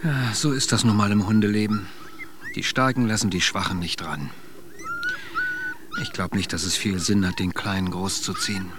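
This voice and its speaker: Male actor as resigned senior explorer, very deep voice, gruff, matter-of-fact, noisy scene, radio drama. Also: steady tone, moderate pace, close to an everyday voice. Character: gruff, resigned but soft-hearted senior expedition man. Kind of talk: German radio drama acting.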